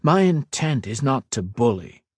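A man voicing an earnest, calm male mage lord who speaks evenly and respectfully. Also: medium pitch, unhurried, husky, no echo, studio quality, voice pushed up. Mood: persuading.

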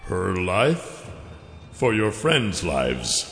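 A man voicing a huge horned dragon warlord, a coldly menacing villain who coaxes with slow, mock-polite deliberation in a fantasy audiobook. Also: low voice, huge pitch swings, creaky, a bit bright, roomy sound, in a large hall, soft background music, voice pushed down, heavily acted. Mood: cold, grave.

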